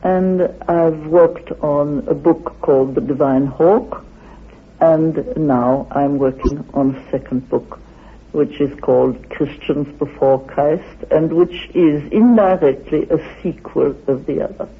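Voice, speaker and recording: High-pitched, husky older man speaking slowly. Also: phone-quality sound. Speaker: interviewed author and researcher. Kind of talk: reflective recorded interview. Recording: band-limited old radio interview.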